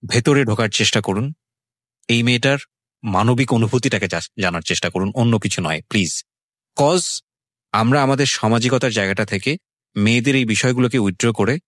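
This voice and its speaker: Male Bangladeshi radio host, lower register, quick earnest delivery with English words dropped in, close dry sound. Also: a bit bright, dry recording. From Bangladesh, outside Dhaka. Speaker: radio show host interviewing a guest. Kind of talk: conversational radio interview with reflective commentary.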